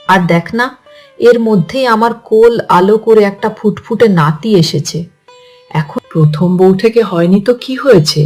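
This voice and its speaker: A woman's voice: mid-range voice, darkish tone, close to an everyday voice. A woman voicing a gossipy, scheming older grandmother in a cartoon story, with a chatty, pushy, persuasive manner. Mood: proud, gleeful. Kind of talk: Bengali cartoon story dialogue.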